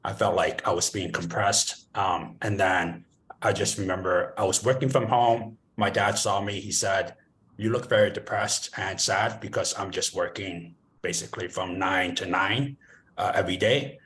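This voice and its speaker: Guy with a really deep voice, chatting on a video call panel, easygoing. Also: steady tone, moderate pace, a bit bright. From born in China, raised in the US. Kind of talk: casual conversation.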